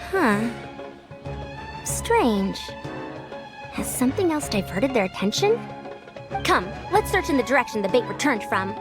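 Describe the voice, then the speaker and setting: High-voiced, haughty scholar. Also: female voice, big pitch swings, a little slow, pauses often, over music. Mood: puzzled. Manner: haughty, lecturing, old-fashioned phrasing. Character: proud, youthful-sounding female scholar who talks like an elder. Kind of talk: animated video game voice acting.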